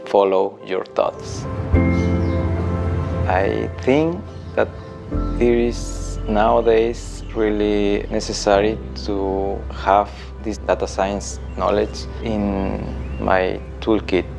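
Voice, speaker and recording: Slow, gravelly Mexican-accented man. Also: fairly low pitch, steady tone, music in the background. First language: Spanish. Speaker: web developer, bootcamp student. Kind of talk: personal documentary interview.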